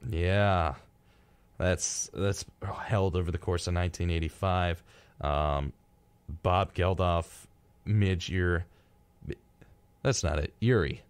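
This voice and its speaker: A man's voice: deep voice, steady tone, takes it slowly, pauses often, smooth, no echo. A young man hosting a radio show, chatting casually and reading out facts in an informal, wry way. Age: young adult.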